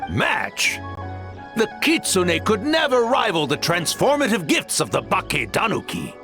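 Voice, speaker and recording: A man's voice: high-pitched, huge pitch swings, unhurried, bright, forceful tone, soft background music, exaggerated voice. A grumpy proud tanuki spirit elder for a game, super swoopy voice, takes his time, pompous. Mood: blustering, proud. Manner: pompous, blustering. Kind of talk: animated video game voice acting.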